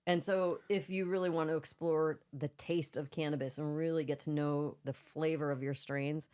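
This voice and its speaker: Low-voiced woman with a stuffy nose. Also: steady tone, moderate pace, darkish tone, clear, resonant tone. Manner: chatty and giggly. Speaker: podcast co-host. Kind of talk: casual podcast chat.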